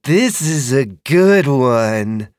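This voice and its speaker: A man voicing a big female dragon who is eager, stubborn and childlike, speaking slowly and insistently. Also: mid-range voice, sing-song, darkish tone, no echo, clean studio sound, pitched above the performer's own voice. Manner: slow, insistent, simple.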